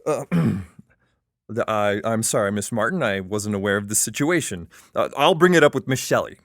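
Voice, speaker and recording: An actor playing a fussy, socially awkward male school administrator in an audio drama, with a halting, flustered delivery. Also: on the low side, lively intonation, a bit bright, no room sound, clean studio sound. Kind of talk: scripted audio comedy-drama acting.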